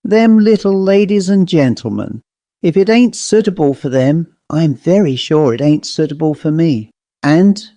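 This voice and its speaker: A man performs a testy, self-important old-fashioned storybook owl, pitched up from a normal speaking voice. The bird grumbles and complains in uneducated rustic speech, always indignant, in a very dry recording. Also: animated, a little slow, mellow, dry recording. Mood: huffy. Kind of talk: storybook character voice.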